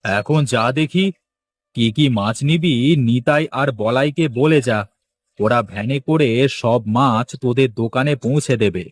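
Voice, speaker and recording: A man's voice: mid-range voice, a little slow, natural voice. A man voicing a bossy village fish wholesaler in a cartoon, commanding and familiar with his underlings. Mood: authoritative. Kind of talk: Bengali cartoon dialogue acting.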